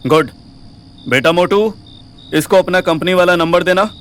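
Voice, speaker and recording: A man's voice: high-pitched, measured pace, fairly bright tone, a little hiss or hum. A man playing a brash, swaggering, foul-mouthed young sleuth in an audio drama, talking in bossy slang. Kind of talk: acted audio drama dialogue.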